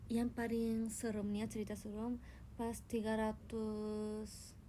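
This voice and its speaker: A woman's voice: fairly high pitch, monotone, takes it slowly, dark tone, slight background noise. A young Indonesian woman chatting casually and teasingly with her viewers on a phone livestream. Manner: playful, teasing, tells tall tales. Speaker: idol livestreamer.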